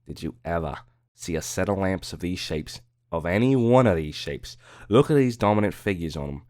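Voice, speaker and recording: A man voicing a proud, quick-tempered Egyptologist in a dramatic audiobook, firing emphatic, scornful questions. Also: low voice, animated, a little mellow, dry recording, clean studio sound. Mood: indignant, scornful.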